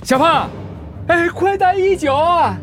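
An actress playing a frantic, loyal friend in a radio drama. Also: female voice, extremely high pitch, measured pace, music in the background. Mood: panicked.

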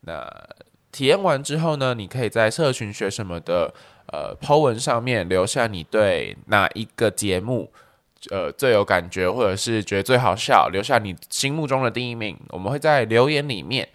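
Male podcast host, slightly deep, Taiwanese accent, casual explanatory delivery. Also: lively intonation, moderate pace.

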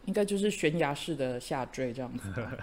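A man's voice: mid-range voice, animated, brisk, a little hiss or hum. A middle-aged man, a teacher hosting a chat podcast, earnest and encouraging, fond of idioms. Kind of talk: casual chat.

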